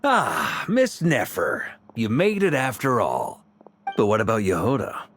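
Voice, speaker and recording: A man's voice: lowish voice, wildly swooping pitch, unhurried, fairly bright tone, soft background music, exaggerated voice. A man playing a genial, slightly bumbling knight leader in a video game, speaking politely and a little awkwardly at length. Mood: welcoming.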